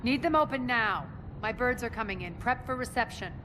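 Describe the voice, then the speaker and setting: An actress playing a ruthless, commanding crime boss in a video game, giving clipped, imperious orders. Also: female voice, on the high side, lively intonation, brisk, background noise, natural voice. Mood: curt, commanding. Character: commanding, ruthless female crime boss leading her own soldiers. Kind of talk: video game cutscene acting. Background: music.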